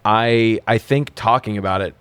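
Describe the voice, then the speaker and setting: A man's voice: on the low side, moderate pace, fairly bright tone, clean tone, dry recording. A man co-hosting a podcast, chatting casually about films in a joking, informal, sweary way. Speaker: podcast co-host. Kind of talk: casual movie-review chat.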